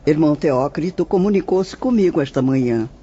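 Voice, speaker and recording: A woman as Celestina, a gentle old nun spirit. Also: deep voice, expressive, fairly quick, slight background noise. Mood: welcoming. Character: serene elderly nun spirit, gentle and welcoming. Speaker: guide at a spirit shelter. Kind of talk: audio drama acting. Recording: muffled lo-fi audio with music.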